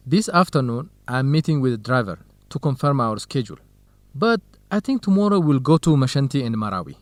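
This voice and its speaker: Animated, earnest young man played by an actor. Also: mid-range voice, fairly quick, dry recording, close to an everyday voice. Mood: friendly, earnest. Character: earnest, modest young Ethiopian male research partner and interpreter. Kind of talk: educational radio drama acting.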